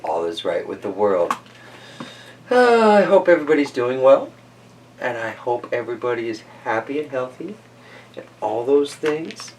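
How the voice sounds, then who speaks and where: An easygoing man hosts a children's storytime, chatting casually and reading aloud. His medium-pitched voice swings expressively from low to high, with musing asides, and he is recorded a bit away from the mic. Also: sing-song, normal speed, a little mellow, distant mic, slight background noise, low-quality recording.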